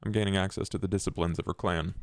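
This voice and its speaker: A man playing an ambitious, scheming vampire aristocrat in a tabletop game, speaking in formal, wordy, measured phrases. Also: low voice, steady tone, darkish tone, no room sound, clean studio sound, lightly acted. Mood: guarded, scheming. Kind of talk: in-character tabletop roleplay.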